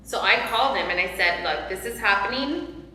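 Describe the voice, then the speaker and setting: A woman's voice: medium pitch, lively intonation, a little fast, sharp tone, slight background noise. A woman in her forties, a panel guest, earnestly retelling a personal story with many fillers. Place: large hall, seated on a sofa. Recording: echoey hall, light ambience.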